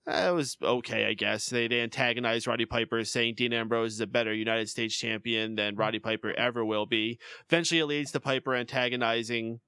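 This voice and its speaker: A man's voice: mid-range voice, steady tone, fairly quick, few pauses, sharp tone, smooth, no room sound. A man co-hosting a podcast, chatting casually through a recap of a wrestling show and laughing often. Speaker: podcast co-host.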